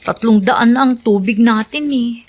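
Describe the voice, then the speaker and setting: An actress playing a worried, put-upon wife who speaks plaintively in a Filipino radio drama. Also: female voice, a little high, unhurried. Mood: dismayed, resigned.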